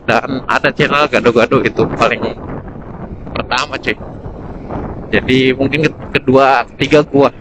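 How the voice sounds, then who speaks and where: A man's voice: a little high, noisy background. A man chats in a casual, slangy, rambling way as a motorcycle vlogger, recorded outdoors through a helmet intercom mic while riding. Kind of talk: casual motovlog chat, product review. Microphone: intercom camera mic inside full-face helmet.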